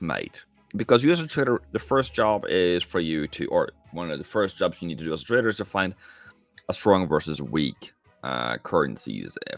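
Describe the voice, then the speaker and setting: A low-voiced man with a gravelly edge hosts a live trading stream. He talks in a lively, up-and-down way and chats casually with viewers, calling them mate. Faint music plays behind him. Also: no echo. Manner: casual, chatty, answers viewers directly, calls them mate. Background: faint background music.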